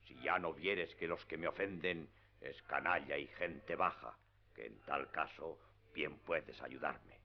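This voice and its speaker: A very low-voiced knight-errant of radio drama, grandiose and stern. He declaims in solemn, archaic phrases, sure of himself, through a somewhat muffled recording. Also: a little hiss or hum. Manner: pompous, declamatory, archaic formal speech.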